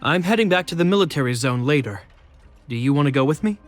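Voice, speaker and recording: An actor as an earnest young guard captain, music behind. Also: male voice, fairly high pitch, expressive, fast, lightly acted. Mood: reflective, fond. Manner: earnest, formal, reserved. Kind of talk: video game voice acting.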